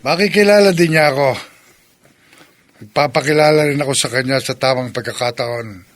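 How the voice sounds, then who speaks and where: An actor playing an obsessive, scheming male aswang in love, gravelly and melodramatic, in a horror radio drama. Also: medium pitch, normal speed, close to an everyday voice. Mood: smug, possessive. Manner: confident, menacing, melodramatic.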